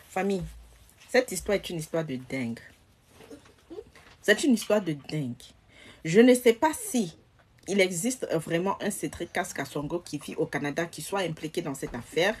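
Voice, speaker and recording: A woman's voice: fairly low pitch, expressive, a little slow, long pauses, slightly rough. A young woman giving emphatic casual commentary to her viewers into a phone camera. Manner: emphatic, addresses viewers directly. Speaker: social media commentator. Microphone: phone camera close up.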